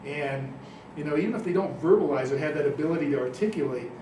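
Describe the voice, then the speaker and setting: Earnest, emotional man, slightly high voice, distant in an echoey room with steady noise. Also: quick pace. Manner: earnest, emotional storytelling with repetitions. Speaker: school district armed officer. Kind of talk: personal sharing in a listening circle.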